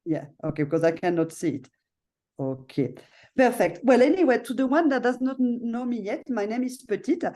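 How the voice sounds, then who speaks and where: A woman's voice: fairly high pitch, lively intonation, normal speed, warm tone. A middle-aged woman with a French accent, presenting her property on a video call in a chatty way, often adding "no?" and "you know". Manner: chatty, frequent fillers and tag questions. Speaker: hotel owner presenting.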